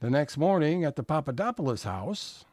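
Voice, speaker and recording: Lively male narrator, slightly high. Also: moderate pace, faint music behind. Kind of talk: scripted scene-setting narration.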